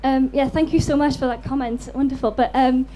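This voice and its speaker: A woman's voice: high voice, fairly even intonation, brisk, dark tone, a little hiss or hum. A Welsh woman and native Welsh speaker with a Welsh accent, answering off the cuff on a panel in a hesitant, self-deprecating way. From Wales. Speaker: author on a festival panel.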